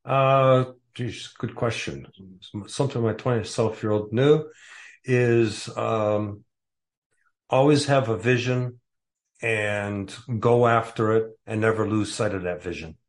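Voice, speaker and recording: A man in his sixties with a lowish voice answers interview questions in a relaxed, casual way. He pauses often and fills gaps with uh and um. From Metro Detroit area, USA. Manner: hesitant, many uh and um fillers. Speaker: guest author.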